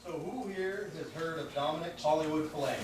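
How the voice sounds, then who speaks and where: A puppet show host presents and narrates to a live event. The voice is distant and heavily reverberant, buried in loud noise. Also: mid-range voice, a little fast. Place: indoor library event, far microphone. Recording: very echoey, distant, heavily noisy live recording.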